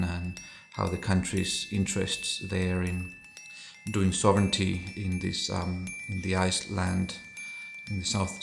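A middle-aged man from Chile, an associate professor, giving thoughtful interview answers that often pause and restart phrases. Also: sits low, vocal fry, background noise.

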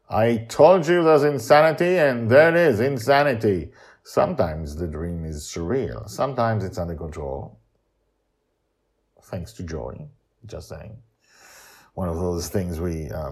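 Man, lower-pitched, very animated, theatrical podcast narration, playful, emphatic. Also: slow. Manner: dramatic, playful, emphatic with repetition. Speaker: podcast host, author and narrator.

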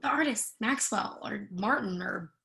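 A woman's voice: mid-range voice, animated, measured pace, gravelly, pushed and bright, exaggerated voice. An actress playing a brash, blunt, teasing Irish woman with a thick brogue in a stage-play reading. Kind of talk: readers theatre stage-play acting.

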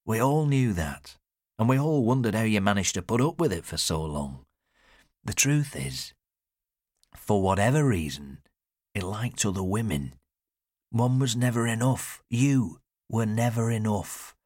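A man's voice: deep voice, moderate pace, breathy edge, dry recording, clean studio sound. A man voicing an older man in an audiobook, stern but kindly and reflective. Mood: stern, consoling. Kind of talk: audiobook character dialogue.

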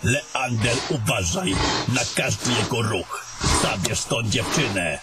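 A man's voice: mid-range voice, animated, takes it slowly, over loud music. A man playing a scornful, domineering battle mage in a fantasy audio drama, with a commanding and coarse way of talking. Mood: defiant, contemptuous.

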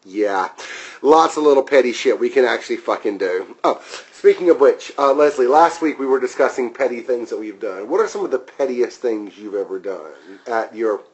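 A man hosting a podcast in profane casual chat, with a loud, powerful voice and crude, swearing humor. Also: medium pitch, animated, normal speed, breathy edge, muffled recording. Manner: crude, swearing, laughs at own stories. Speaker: podcast host.

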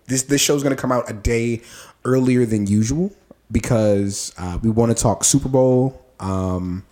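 A man's voice: mid-range voice, measured pace, dark tone. A young man co-hosting a podcast, chatting casually with many fillers and restarts. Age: young adult. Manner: rambling, lots of fillers and restarts. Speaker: podcast co-host. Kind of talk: casual chat.

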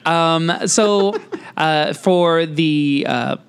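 A man hosting a casual podcast interview, talking in a halting, wordy, excitable way. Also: on the high side, clean tone. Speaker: podcast host.

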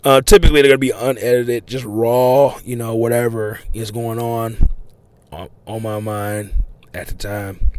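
A man hosting a casual, unedited podcast monologue, talking in a loose, rambling way full of fillers and restarts, his voice a little hoarse from overuse. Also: medium pitch, fairly even intonation, measured pace, pauses often. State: losing his voice, a bit hoarse. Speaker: podcast host.

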